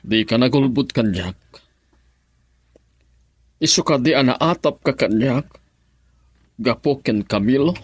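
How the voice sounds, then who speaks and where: A man acting a concerned older relative in an Ilocano radio drama, questioning in a measured, probing way. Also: mid-range voice, lively intonation, husky, no room sound.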